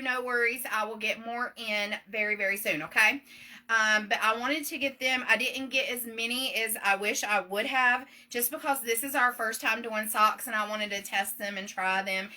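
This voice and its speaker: Loud, chatty Southern woman. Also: a little high. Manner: loud, fast and chatty, with many ums and okays. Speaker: shop owner hosting a live demo. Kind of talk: casual live product demonstration.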